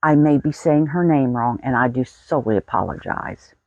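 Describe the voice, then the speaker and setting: A woman's voice: very deep voice, moderate pace, no room sound, narrow, tinny audio. An American woman in her sixties telling a personal story in a folksy, plain-spoken way. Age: 60s. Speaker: vlogger.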